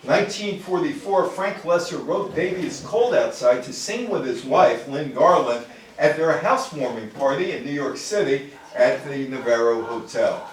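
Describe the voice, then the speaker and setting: A man's voice: on the high side, animated, normal speed, hardly any pauses. Older American man lecturing, very echoey. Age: older, grandfather. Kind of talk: informal public lecture on music history. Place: indoor room before a live audience. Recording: very echoey room, distant microphone.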